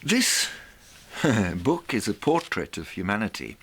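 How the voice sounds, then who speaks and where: A man presenting a reflective monologue in a theatrical, deliberate way, with rhetorical asides. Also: fairly low pitch, big pitch swings, fairly bright tone, breathy edge.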